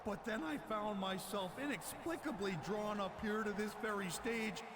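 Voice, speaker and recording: A man voices an eccentric, deranged game wrestler. He rambles in florid, theatrical sentences at a very high pitch. Loud noise runs behind him. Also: moderate pace, lightly acted. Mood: whimsical, unhinged. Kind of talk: scripted video game promo. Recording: loud noisy arena.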